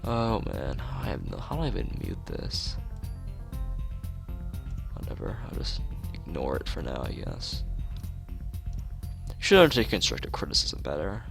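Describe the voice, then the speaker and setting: A man's voice: very deep voice, huge pitch swings, normal speed, gravelly, long pauses, fairly bright tone, faint music behind. A man reading a visual novel aloud in an informal let's play, stumbling over words and restarting phrases, with off-the-cuff asides.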